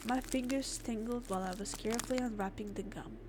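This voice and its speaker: A woman doing a let's play, reading game text aloud and adding expressive, informal reactions. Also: on the high side, fast talker, background noise. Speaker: gamer, let's player. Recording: clear game music behind the voice. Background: game music.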